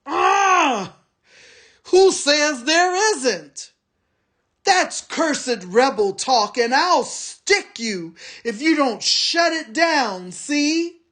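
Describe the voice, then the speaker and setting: A big, bullying orc soldier with a hot temper, barking threats in a raised, lively voice, as a woman puts him on for a storybook reading. He talks slowly and with heavy weight. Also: sits high, voice pushed up, full character voice. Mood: blustering, angry. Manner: shouting, threatening.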